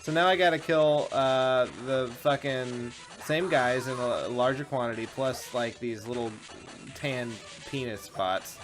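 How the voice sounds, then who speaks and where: A man's voice: medium pitch, a little slow, few pauses. A man co-hosting a game show, giving casual, informal and sweary commentary as he plays. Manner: informal, profane. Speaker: video game show co-host and player. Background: game music.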